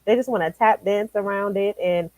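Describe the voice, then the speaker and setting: A woman's voice: medium pitch, moderate pace, darkish tone, dry recording. A woman talking in a casual conversation, affirming and reflective.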